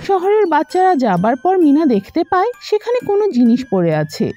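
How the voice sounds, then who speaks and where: Very high, animated woman storyteller. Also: normal speed, muffled recording, faint music behind. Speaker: narrator. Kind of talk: scripted children's story narration.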